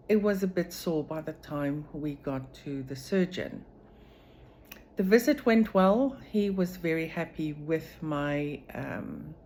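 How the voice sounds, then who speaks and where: Low-voiced, unhurried woman. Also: dark tone. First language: Afrikaans. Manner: slow, measured, with frequent pauses and fillers. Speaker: vlogger sharing her recovery. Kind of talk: personal video diary.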